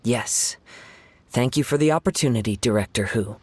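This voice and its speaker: A man playing a gentle, poetic young swordsman in a video game, speaking in a courteous, measured, formal way. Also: mid-range voice, a little hiss or hum, lightly acted. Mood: polite. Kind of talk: video game character acting. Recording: music behind.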